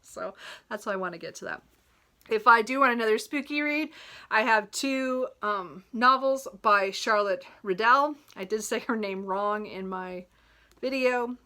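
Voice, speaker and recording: A woman's voice: on the high side, unhurried, bright, forceful tone. A woman chatting casually about her reading list as a book vlogger, rambling and giggly, often correcting herself and apologizing. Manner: giggles often while talking.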